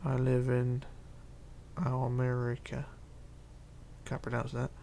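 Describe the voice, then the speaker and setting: A man hosting a casual livestream, reading chat aloud and chatting in a rambling, halting way. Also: mid-range voice, monotone, gravelly, dark tone, a little hiss or hum. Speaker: Minecraft livestreamer.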